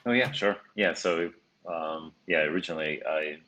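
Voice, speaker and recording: A middle-aged man from Russia, a company CEO answering interview questions in a measured way with small restarts. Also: very deep voice, fairly even intonation, pushed and bright, slight background noise. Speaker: CEO guest.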